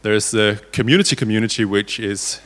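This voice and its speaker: Man from Denmark, lowish voice, hesitant informal panel talk, echoing hall. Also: fairly even intonation, fairly quick, a bit bright. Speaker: panelist, software developer. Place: indoor conference hall. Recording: reverberant conference hall.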